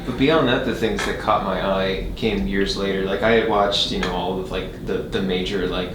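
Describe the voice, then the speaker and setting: A man reminiscing casually, thinking aloud in a halting way. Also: sits low, steady tone. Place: indoor radio studio. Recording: roomy studio recording with background noise.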